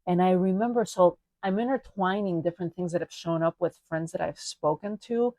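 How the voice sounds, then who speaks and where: A woman from Spain talks through her own podcast in a reflective, chatty way, leaning on little fillers as she thinks aloud. Her voice sits low. The recording is dry and close, with no room sound at all. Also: brisk, warm tone, dry recording. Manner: reflective, chatty, frequent "you know" and "like". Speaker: podcast host. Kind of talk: personal solo podcast monologue.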